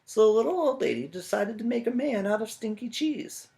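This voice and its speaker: A man reading a children's story aloud as a teacher, expressive and full of playful joking asides. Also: high-pitched, a little fast, vocal fry.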